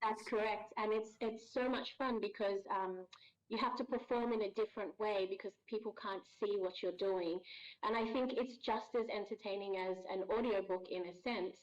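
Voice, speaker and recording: A woman's voice: mid-range voice, steady tone, moderate pace. A woman from Ghana, a voice actor guest on a podcast, talking in a friendly, thoughtful way and laughing easily. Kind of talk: casual podcast interview. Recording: dull lo-fi audio.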